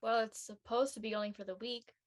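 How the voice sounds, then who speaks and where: A young actress playing a homesick, worn-down college student in a drama, hesitant and soft-hearted. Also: female voice, on the high side, steady tone, brisk, natural voice. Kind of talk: acted dialogue in an audio-led play.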